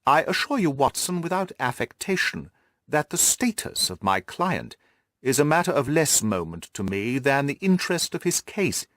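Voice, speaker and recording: A man voicing a shrewd, self-assured male detective in an audiobook, speaking in a precise, formal, animated manner. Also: medium pitch, moderate pace, dry recording, pitched above the performer's own voice. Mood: brisk, assured. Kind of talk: classic detective audiobook dialogue.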